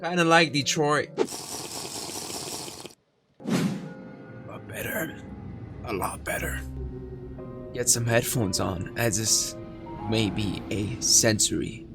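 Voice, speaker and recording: A man who streams games, reading a horror game's story aloud in a slow, dramatic storytelling way. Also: mid-range voice, gravelly, music in the background. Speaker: gaming streamer.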